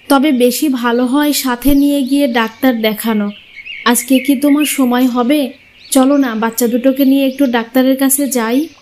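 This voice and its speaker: A woman's voice: high-pitched, mellow, slight background noise, lightly acted. A woman voicing a long-suffering, sorrowful young mother in a cartoon fairy tale, earnest in manner.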